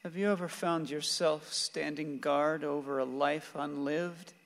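A man's voice: fairly high pitch, fairly even intonation, unhurried, gravelly, in a large hall. An actor playing an embittered, defiant, grief-stricken father in a radio play, speaking with bitter force.

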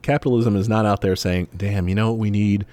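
A man's voice: fairly low pitch, fairly even intonation, fast, darkish tone, dry recording. A man co-hosting a podcast, talking casually and wryly about politics with frequent fillers and restarts. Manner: wry, rambling, frequent fillers. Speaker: podcast co-host.

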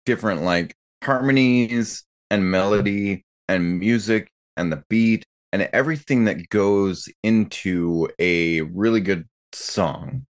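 A man with a fairly low voice talks as one of a podcast panel. The manner is earnest and informal, in loose everyday conversation. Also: slow pace, few pauses. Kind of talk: casual chat.

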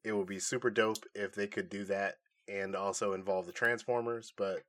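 A man's voice: fairly low pitch, steady tone, normal speed, a bit bright. A man co-hosting a podcast in casual nerd chat, reacting and joking along with his partner. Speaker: podcast co-host.

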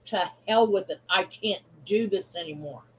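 A woman's voice: mid-range voice, moderate pace, slight background noise, rough recording. A woman in her sixties, a former wrestler as interview guest, speaking frankly and bluntly with some teasing. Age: 60s. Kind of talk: casual interview chat.

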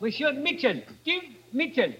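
A man's voice: very high voice, very animated, moderate pace, bright, forceful tone, slight background noise, full character voice. An actor playing an eager, deferential young errand boy who speaks broken English in an old radio drama. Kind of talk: 1940s-50s radio drama acting. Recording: dull vintage radio recording.

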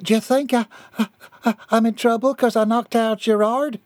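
A man voicing a brash, combative country wife with a loud, folksy manner. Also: extremely high pitch, steady tone, moderate pace, dry recording, clean studio sound, pitched above the performer's own voice. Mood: worried. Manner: loud, folksy, hot-headed.

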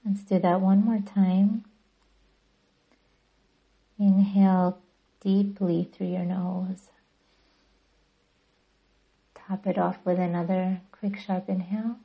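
A woman guiding a meditation as a podcast host, speaking gently and deliberately in a soothing, breathy voice. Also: medium pitch, little pitch movement, lo-fi sound. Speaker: yoga nidra guide and podcast host. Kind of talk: guided meditation narration.

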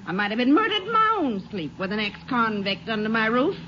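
A woman's voice: a little high, sing-song, fairly quick. A woman playing an indignant, folksy small-town landlady with a rural American accent in an old-time radio drama, always complaining. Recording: muffled, noisy vintage radio recording.

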